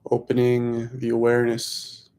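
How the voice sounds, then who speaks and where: A man leading a guided meditation as a teacher, speaking slowly and softly in short phrases with long pauses. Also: medium pitch, completely flat delivery. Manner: slow, hushed, long pauses between phrases.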